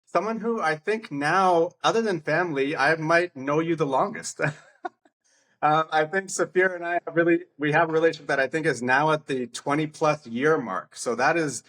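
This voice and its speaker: A man's voice: on the high side, normal speed, fairly bright tone. A middle-aged man hosting a podcast, friendly and chummy, laughing often. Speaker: podcast host. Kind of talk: casual interview talk. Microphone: podcast mic.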